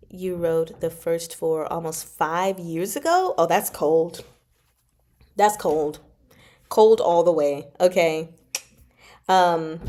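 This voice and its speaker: A woman's voice: on the low side, warm tone. A woman hosting a casual livestream from home, chatting informally and giggly as she reads and answers viewer comments. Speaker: livestream host reading chapters aloud and chatting with viewers.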